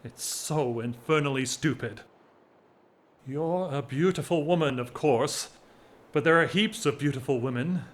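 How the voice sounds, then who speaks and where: An actor playing a whimsical, lovesick English gentleman of forty-one in a period audio drama, wry, measured and self-mocking. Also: fairly high pitch, lightly acted. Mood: exasperated devotion.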